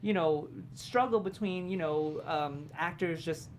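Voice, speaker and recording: A woman's voice: lowish voice, unhurried. A woman panelist chatting casually in a complimentary, talkative manner. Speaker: panelist and actor. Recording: light background room noise.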